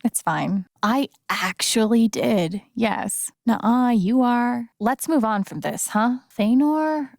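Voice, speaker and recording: An actress playing a kind, playful young woman in a video game, speaking in a casual, gentle, naturalistic way. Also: on the high side, takes it slowly, creaky, few pauses, no echo, clean studio sound. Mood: casual, upbeat. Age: young adult.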